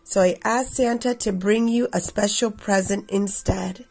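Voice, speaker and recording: A woman in her thirties reading a picture book aloud expressively for children, with a slightly husky, creaky voice. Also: medium pitch, steady tone, a little slow, darkish tone, soft background music. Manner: addresses children directly. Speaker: read-aloud storyteller for children.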